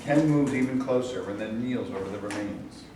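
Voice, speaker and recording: A man's voice: lowish voice, moderate pace. A man reading scripted stage directions as narrator at a staged play reading. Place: echoey room at a staged reading. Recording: very echoey room, distant mic, clear noise.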